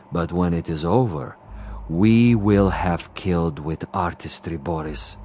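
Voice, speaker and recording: A man's voice: low voice, a little mellow. A man playing a cultured, menacing commissar and assassin in a drama, speaking with theatrical mock courtesy and relishing his words. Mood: coolly menacing. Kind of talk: dramatic TV-style dialogue acting.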